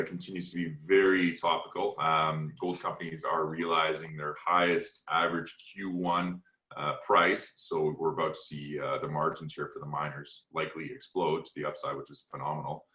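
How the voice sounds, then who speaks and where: A man's voice: low-pitched, level tone, moderate pace, bright, forceful tone. A man moderating questions as a portfolio manager on a financial conference call. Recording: roomy, echoing conference call.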